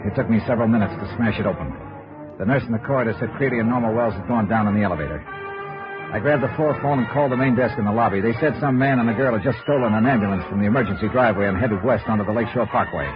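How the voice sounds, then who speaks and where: An actor playing a calm, world-weary insurance investigator in a 1950s radio noir, clipped and matter-of-fact. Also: male voice, lowish voice, rapid-fire, close to an everyday voice. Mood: urgent narration. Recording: muffled old radio recording with music.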